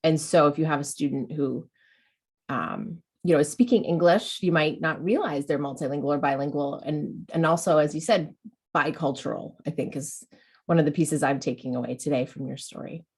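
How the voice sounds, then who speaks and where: A woman's voice: deep voice, normal speed, a little mellow, breathy edge. A woman hosting an educational webinar, talking in an encouraging way and often restarting her sentences. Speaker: webinar host.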